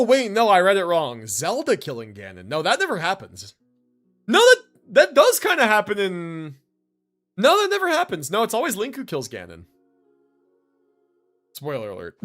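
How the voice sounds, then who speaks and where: A man's voice: sits high, fairly bright tone. A man streaming live, reviewing game builds in casual commentary and thinking aloud as he goes.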